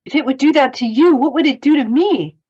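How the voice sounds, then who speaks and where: A woman's voice: high voice, brisk, mellow, muffled recording, lightly acted. A woman playing an earnest, anxious young male hobbit in a table read of a fantasy film script.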